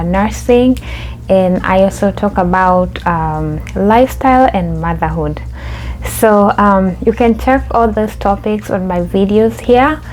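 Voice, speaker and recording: A woman's voice: mid-range voice, darkish tone, a little hiss or hum, low-quality recording. A young adult woman from Kenya hosting her own vlog, telling a story in a chatty way with lots of fillers and restarts. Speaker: YouTube host.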